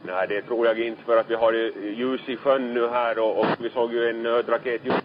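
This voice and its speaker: Male ship officer, mid-pitched voice, dull marine radio transmission. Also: little pitch movement. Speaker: ship officer at a rescue scene. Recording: muffled, band-limited marine radio transmission.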